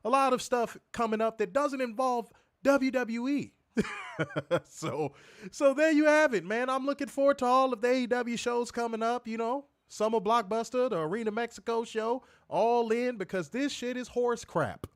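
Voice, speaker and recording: A man's voice: extremely high pitch, moderate pace. A man co-hosting a wrestling review show, ranting bluntly and profanely while stuffed up with a cold. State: congested, stuffed up. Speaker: podcast co-host.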